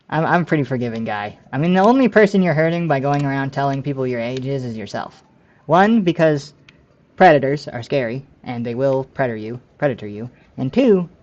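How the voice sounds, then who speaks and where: A man's voice: mid-range voice, darkish tone, muffled recording. A man in his late twenties chatting casually with viewers while streaming a game, rambling and correcting himself as he goes, with frequent laughs. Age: 20s.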